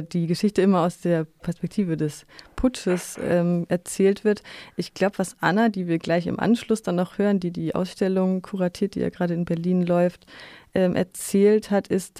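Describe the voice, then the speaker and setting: Close and dry, a woman with a soft-edged, dark tone and plenty of vocal fry hosts a radio interview. She asks her questions in a casual, conversational way. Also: on the low side, no room sound.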